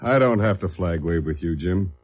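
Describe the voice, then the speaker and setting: Very deep, mellow American chief investigator. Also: male voice, lively intonation, moderate pace, slight background noise. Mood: insistent. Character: gruff, patriotic chief investigator briefing his agent. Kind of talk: 1950s radio drama acting. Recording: muffled old radio recording.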